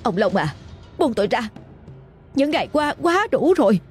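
A woman's voice: fairly high pitch, very animated, moderate pace, faint music behind, voice pushed up. A woman voicing a desperate middle-aged wife who cries out in anger and pleading in a spoken drama story. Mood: angry tearful. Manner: pleading, crying out.

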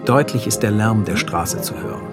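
A man's voice: on the low side, animated, moderate pace, over loud music. A man reading stage directions and credits for a radio play as a sober scripted announcement.

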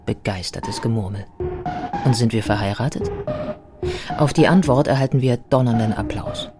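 An actress playing a thoughtful modern biographer, giving measured, articulate literary narration in a radio play. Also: female voice, rumbling low, loud music behind the voice. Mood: personal, wry.

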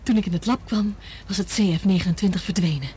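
A woman's voice: mid-range voice, quick pace, warm tone, slight background noise, lightly acted. An actress in a radio drama playing an anxious, determined woman scientist who speaks urgently and earnestly. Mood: anxious, distressed.

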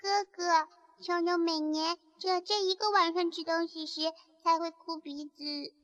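Very high-voiced, sweet little fairy girl. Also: fairly even intonation, moderate pace. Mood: shy, confiding. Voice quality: childlike. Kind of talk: Chinese fantasy audio drama acting. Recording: muffled, echoey, under loud music.

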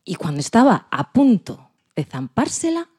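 A woman's voice: fairly high pitch, sing-song, moderate pace, a little mellow, breathy edge. A woman with a Spain accent narrating a dramatized children's story expressively. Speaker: podcast presenter and story narrator.

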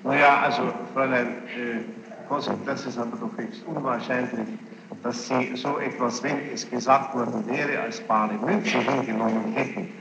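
Gravelly man questioning on noisy archival tape. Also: medium pitch, steady tone. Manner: probing, insistent, halting formal phrasing. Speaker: judge questioning a witness. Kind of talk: formal courtroom questioning. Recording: noisy, muffled archival tape, echoey hall.

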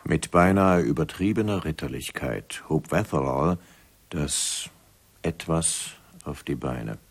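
Casting: grave gothic radio narrator, extremely low register, unhurried delivery. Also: male voice, lively intonation, breathy edge, heavily acted. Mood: grave, ominous. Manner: measured, deliberate. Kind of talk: scripted radio drama narration.

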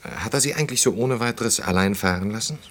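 An actor playing a shy, courteous bachelor in his early thirties in a naturalistic radio play, speaking hesitantly with gentle irony. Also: lowish voice, fast. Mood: teasing, courteous. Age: 30s. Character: shy, courteous, wry bachelor of thirty-two, eager host.

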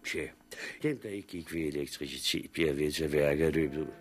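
An actor playing a stoic blind war veteran in a 1960s radio drama, talking with dry gallows humour and matter-of-fact calm. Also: male voice, rumbling low. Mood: calm, consoling. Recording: old radio recording with faint hiss.

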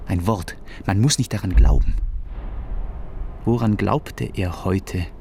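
A man narrating literary prose for a radio play with halting, pensive phrasing. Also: low-pitched, dark tone. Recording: clearly noisy ambient background.

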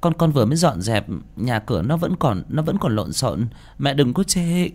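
A scheming young daughter-in-law, as a man puts her on, fawning and stammering with put-on sweetness. The voice sits a little higher than the storyteller's own. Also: sing-song, normal speed, darkish tone. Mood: fake cheerful. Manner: falsely sweet, stammering, ingratiating.

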